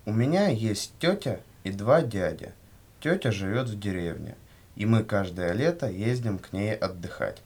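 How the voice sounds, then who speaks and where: Male, lowish and husky, varied intonation, delivering measured language-course questions and model replies. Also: animated, darkish tone. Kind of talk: scripted language-lesson dialogue, read aloud.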